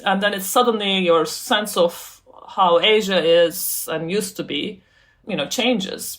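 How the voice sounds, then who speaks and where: A woman from Turkey, an author and scholar, talking casually as an interview guest, thoughtful, laughing often and restarting her sentences. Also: low voice.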